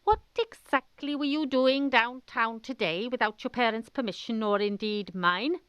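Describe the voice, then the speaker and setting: A woman's voice: on the high side, normal speed, fairly bright tone, clean tone, no echo, lo-fi sound, lightly acted. A woman playing a stern, pompous older headmistress with a Welsh accent in a comic radio drama, imperious and theatrical. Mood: scolding, outraged. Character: stern, pompous older chapel-going headmistress, mock outraged.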